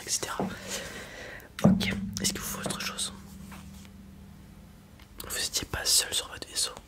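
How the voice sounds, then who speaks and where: A man playing a blunt, casual space robot merchant in a whispered ASMR roleplay, muttering hesitantly in a breathy voice. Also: close to an everyday voice. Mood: blunt, world-weary. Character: gruff, casual male space robot merchant, wary but kind.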